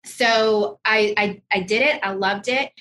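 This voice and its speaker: A woman speaks as a podcast guest in a bright, crisp-edged voice. She is informal and emphatic, chatting casually in an office. A faint rumble sits behind her. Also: unhurried, rough recording. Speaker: podcast guest, wealth management executive. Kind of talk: casual conversational interview. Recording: noticeable low background rumble.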